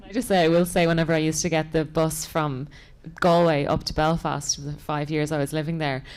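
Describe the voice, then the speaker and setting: A woman with a slightly low voice talks informally at a live panel. She comes across as a chatty, enthusiastic moderator. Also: level tone, a little fast, a little mellow, clean tone.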